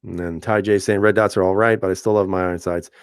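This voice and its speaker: Low-voiced man chatting on a live stream. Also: fast, clean tone, no room sound, studio quality. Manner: relaxed, chatty, laughs often, many fillers and restarts. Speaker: channel host reading live chat. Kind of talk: casual live-stream chat.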